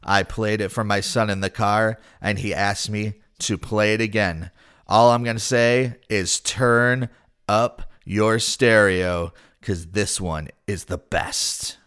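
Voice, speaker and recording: Male podcast host, lowish voice, upbeat and chatty with playful asides. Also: steady tone, slow, fairly bright tone, clean tone. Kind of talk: casual podcast chat and segment narration.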